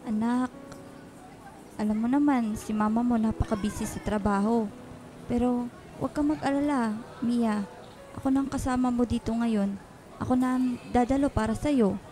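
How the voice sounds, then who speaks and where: Woman as gentle, mellow-voiced caring nanny. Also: fairly high pitch, steady tone, normal speed, pauses often, faint music behind, natural voice. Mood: soothing. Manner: soothing, patient. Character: gentle, caring older nanny comforting her ward. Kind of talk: Filipino radio drama acting.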